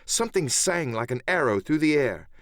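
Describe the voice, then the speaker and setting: A man's voice: on the high side, big pitch swings, moderate pace, no echo, studio quality. A man narrating an adventure audiobook in the first person, with theatrical, literary storytelling.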